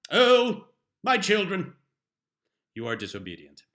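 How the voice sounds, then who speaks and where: Casting: man to play an exasperated, pompous patriarch for a comic mock-biblical reading; higher-set male voice with sharp, forceful edge, solemn and theatrical. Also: huge pitch swings, measured pace, heavily acted. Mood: exasperated, thundering. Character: stern exasperated father proclaiming household laws like biblical scripture. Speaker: reader performing a humorous essay. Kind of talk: dramatic comic reading in mock-scriptural language.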